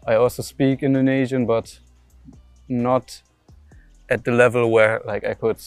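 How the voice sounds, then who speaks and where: Young guy from germany, footballer, flat monotone answers, lots of ums, faint music behind. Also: mid-range voice, unhurried, clean tone, no echo. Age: young adult. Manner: hesitant, lots of fillers and restarts. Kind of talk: casual interview answers.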